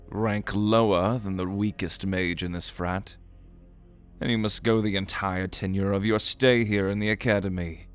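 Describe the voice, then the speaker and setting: A man playing a haughty, menacing academy fraternity president in an audio roleplay, speaking in a cold, imperious manner. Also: fairly low pitch.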